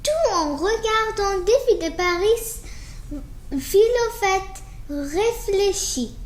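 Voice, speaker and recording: Very high-pitched, animated woman storyteller. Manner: slow, halting reading with stumbles. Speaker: storyteller reading a children's picture book aloud. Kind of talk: animated read-aloud storytelling for children. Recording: roomy echo with faint background noise.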